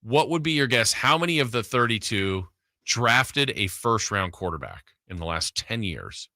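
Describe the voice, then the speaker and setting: A man's voice: on the low side, very animated, moderate pace, sharp tone, no room sound, clean studio sound. A man hosting a sports radio show, talking casually and thinking aloud in a conversational way. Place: radio studio. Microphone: close broadcast studio mic.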